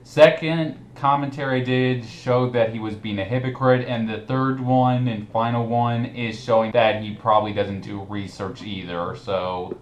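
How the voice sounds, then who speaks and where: A man's voice: mid-range voice, steady tone, unhurried, few pauses. A man hosting a casual group commentary chat, speaking in a mocking manner. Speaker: commentary video host. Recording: echoey room with clear background noise.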